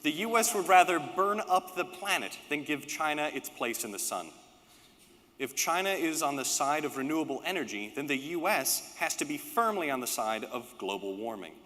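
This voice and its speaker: A man giving a prepared political speech at a conference, with emphatic, rhetorical phrasing and deliberate repetition. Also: fairly high pitch, expressive, sharp tone. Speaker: political speaker, panelist. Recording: reverberant hall with long echo.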